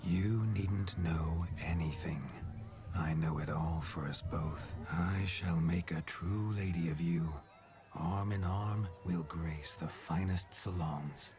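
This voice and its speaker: An actor playing a gruff, flirtatious man possessed by a noble ghost, with a deep voice that drops near a whisper. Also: fairly even intonation. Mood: flirting. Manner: slow, wry, seductive drawl. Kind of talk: video game cutscene voice acting. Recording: game soundtrack music behind.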